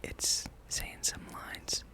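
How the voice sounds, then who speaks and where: Whispering ASMR gameplay streamer. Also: extremely slow. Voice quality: breathy unvoiced whisper. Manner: hushed, playful musing, reads game dialogue aloud.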